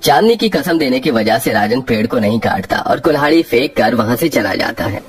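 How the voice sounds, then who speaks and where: A man narrates a children's story at a middle pitch. His scripted storytelling runs over faint music. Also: big pitch swings, brisk, breathy edge.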